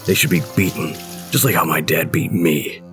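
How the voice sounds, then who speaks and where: An actor playing a brooding, bitter husband with a gruff, curt, belittling manner in an audio horror drama. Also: male voice, a bass voice, normal speed, over music. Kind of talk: audio horror anthology acting.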